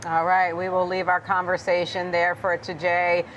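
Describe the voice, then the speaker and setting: Woman anchor running a market interview, quick and businesslike, bit of noise behind. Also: on the low side, completely flat delivery, fairly bright tone. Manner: brisk, guiding questions. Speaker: news anchor. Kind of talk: financial news interview.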